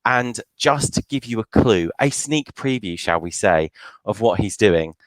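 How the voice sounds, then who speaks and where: A man's voice: on the low side, expressive, measured pace, no echo, low-quality recording. A man hosting a live online fan panel show in a chatty presenting style.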